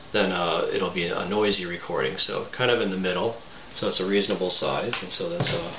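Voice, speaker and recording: A man in his forties giving a casual step-by-step tutorial in a halting, plain-spoken way. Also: deep voice. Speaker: tutorial presenter. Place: small home room, indoors. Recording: roomy, muffled, faint background noise.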